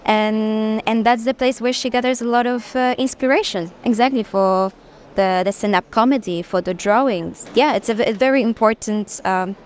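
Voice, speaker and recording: A woman presenting an artwork off the cuff, pausing between short phrases as she explains it. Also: fairly high pitch. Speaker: gallery representative presenting an artist's work. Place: busy art fair hall. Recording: faint fair hall background noise.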